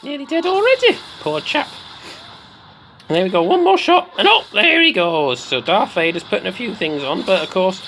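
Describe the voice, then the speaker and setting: Someone putting on the voice of a slightly mad, excitable woman doing theatrical video game commentary, repeating phrases for effect. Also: mid-range voice, moderate pace, slight background noise, poor audio. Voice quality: put-on comic voice. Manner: theatrical, repeats phrases, swoops between squeals and murmurs.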